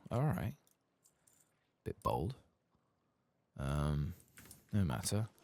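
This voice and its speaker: A man with an extremely low, creaky voice gives soft-spoken ASMR game commentary. He mutters casually and swears freely, with his pitch moving around in a lively way. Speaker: streamer playing a role-playing video game.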